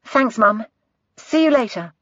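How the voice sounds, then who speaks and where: A woman's voice: a little high, lively intonation, a little slow, crowd noise, voice pushed up. Young policewoman, short polite answers, kinda reserved, woman reading her. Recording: slightly dull, dry recording.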